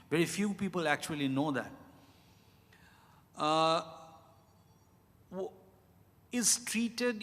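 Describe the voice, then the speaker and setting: A middle-aged man with an Indian accent answering questions as an author, speaking reflectively and deliberately, often repeating phrases. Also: a little high, fairly bright tone. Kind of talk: reflective live Q&A at a literary event. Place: large indoor event hall at a hotel. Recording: reverberant hall, amplified live event.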